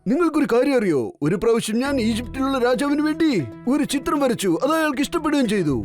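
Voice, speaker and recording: A high, swooping cartoon mayor, boastful and excitable. He exclaims constantly and talks himself up with showy pride. Music plays faintly behind him. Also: quick pace. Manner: boastful, exclamatory, repeats a catchphrase interjection. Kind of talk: children's cartoon dub.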